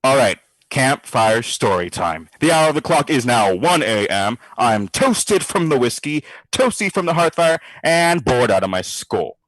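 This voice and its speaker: An actor playing a brash, sardonic skeptic who talks in slangy, theatrical quips in an audio drama diary. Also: male voice, medium pitch, sing-song, measured pace, hardly any pauses, fairly bright tone, no room sound, low-quality recording. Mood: bored, sardonic. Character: brash wisecracking skeptical man, boozy ghost-study participant.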